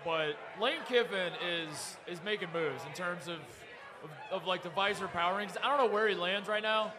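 A man's voice: sits high, normal speed. A man in his thirties co-hosting a casual sports chat, laid-back and joking, repeating his punchlines. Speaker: co-host. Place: crowded bar, indoors. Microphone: handheld mic. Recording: live bar crowd and noise behind.

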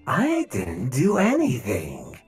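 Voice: medium pitch, huge pitch swings, slow pace, over music. A performer voicing a sly, menacing animatronic villain in a game roleplay, smug and goading.

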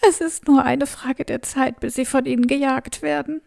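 A woman's voice: sits high, fairly even intonation, normal speed, gravelly, a little mellow. An actress playing a frightened middle-aged mother in a fantasy audio drama, pleading fearfully and breathily for her family.

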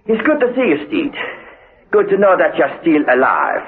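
An actor playing a bitter, resolute prisoner in a radio drama, speaking in a deliberate, theatrical, taunting way. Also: male voice, a little high, huge pitch swings. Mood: bitter, composed. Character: bitter, resolute male prisoner, menacing ringleader, slyly taunting. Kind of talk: 1960s-spy radio drama acting. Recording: muffled, lo-fi radio recording.

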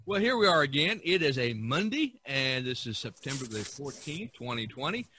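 A man hosting a podcast in a casual way, halting and repeating words as he talks. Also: mid-range voice, big pitch swings, bright, forceful tone, a little hiss or hum. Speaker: podcast host.

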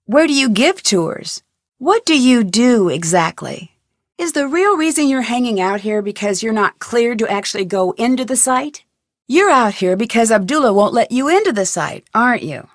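A woman's voice: fairly high pitch, very animated, normal speed, dry recording. A woman voicing a curious, probing young woman detective in a video game, asking question after question with a dry wit. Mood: suspicious. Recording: dry studio recording.

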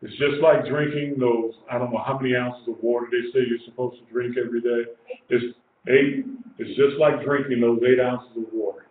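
A man's voice: medium pitch, fairly even intonation, moderate pace. A man in his sixties giving an informal religious teaching, emphatic and repeating phrases for weight. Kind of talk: informal sermon, teaching to a small group. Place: small indoor room. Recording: echoey, tinny lo-fi webcam stream with hiss.

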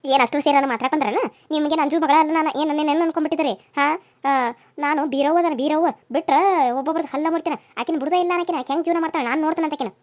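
A domineering older mother-in-law as acted by a woman, spiteful and quick to threaten. She talks fast and very high with a North Karnataka accent, a family melodrama villain. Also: steady tone, lightly acted. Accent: North Karnataka Kannada.

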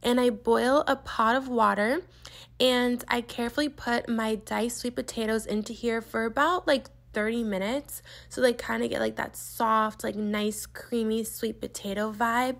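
Chatty young woman with a high voice. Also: steady tone, unhurried, creaky, a bit bright. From US East Coast. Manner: chatty and gushing, heavy use of "like". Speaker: vlogger. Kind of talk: casual meal prep voice-over. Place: home kitchen.

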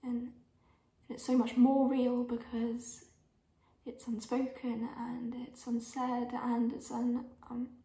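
A woman's voice: a little high, monotone, takes it slowly, creaky, dark tone. A woman playing an earnest young woman confessing love in a dramatic monologue, hesitant, often dropping to a near-whisper. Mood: aching, intense. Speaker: actor in a monologue showreel. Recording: roomy, echoing room sound.

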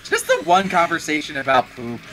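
Man, animated intonation, bright voice, casual joking chat, music behind. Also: a little high, a little fast, low-quality recording. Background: video game music.

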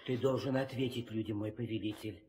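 A man's voice: fairly low pitch, fairly even intonation, fairly quick, darkish tone, a little hiss or hum, lightly acted. A man playing a loyal, deferential monkey counselor in an old audio play, eager and respectful. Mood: patient, advising. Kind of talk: 1960s Soviet audio play acting. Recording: old studio record with long reverb.

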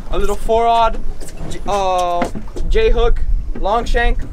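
A man's voice: high-pitched, steady tone, takes it slowly, faint music behind. A young man hosting a casual fishing vlog outdoors, talking enthusiastically to viewers and often repeating himself. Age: young adult. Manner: enthusiastic, repetitive, addresses viewers as guys. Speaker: fishing show host. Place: outdoors, on a small boat and at a dock. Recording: noisy outdoor recording, boat and wind ambience.

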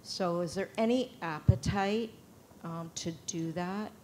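Wry low-voiced woman, faint hum. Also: measured pace, mellow. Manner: rambling, wry. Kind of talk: audience floor comment.

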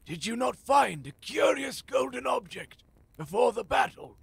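Old male seer, high-pitched, very gravelly, portentous, urgent, fantasy audio drama. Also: animated, a little slow, dry recording, heavily acted. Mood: urgent, foreboding. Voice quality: raspy, creaky. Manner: portentous, archaic, declamatory.

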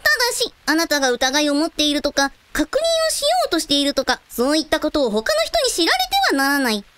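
A woman's voice: high voice, huge pitch swings, fast talker, a bit bright, heavily acted. A woman playing a composed, courteous female scientist in a radio drama. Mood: matter-of-fact.

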